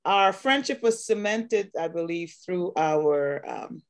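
Woman host from Guyana, fifties, low voice, gravelly, very slow, friendly and a little hesitant. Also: animated. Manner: unhurried, friendly, a little hesitant with small restarts. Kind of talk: hosting a panel conversation.

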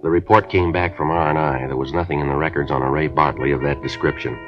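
An actor playing a terse police detective, narrating his case in a clipped, deadpan way on a 1950s radio drama. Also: a bass voice, quick pace, over loud music. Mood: matter-of-fact. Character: terse, matter-of-fact male police sergeant. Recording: dull lo-fi 1950s radio broadcast.

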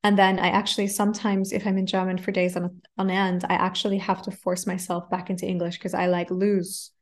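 A woman chatting as an interview guest, lively and animated, talking through quick self-corrections. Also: fairly low pitch. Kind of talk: casual conversation.

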